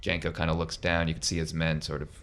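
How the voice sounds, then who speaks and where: A man's voice: extremely low pitch, monotone, quick pace, a bit bright. A man casually narrating an improvised tabletop roleplaying game as the game master, in a conversational storytelling manner.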